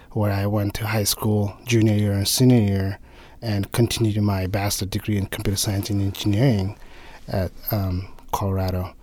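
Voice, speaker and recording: Guest spot: man, low pitch, hesitant delivery, dry close sound. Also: darkish tone, no room sound. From Nepal. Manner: halting, frequent restarts and fillers. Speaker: interview guest, government engineer. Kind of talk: conversational interview.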